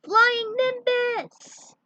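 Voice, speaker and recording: A woman's voice: very high-pitched, fairly even intonation, very slow, sharp tone, dull audio, voice pushed up, full character voice. A woman voicing a bold, urgent young heroine in a fan fiction story, exclamatory and determined. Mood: excited, calling out.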